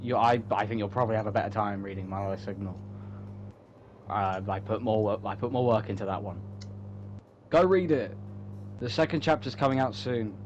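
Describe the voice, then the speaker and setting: A man's voice: on the low side, fairly quick, long pauses. Casual rambling man, muffled humming recording. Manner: self-interrupting, repetitive, joking, swearing casually. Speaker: writer promoting own online story. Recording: muffled audio with clear hum.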